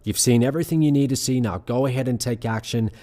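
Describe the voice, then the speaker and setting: A man reading a scripted sales pitch as presenter, in an earnest, measured, persuasive manner. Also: dark tone. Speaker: presenter of an online money-making sales pitch.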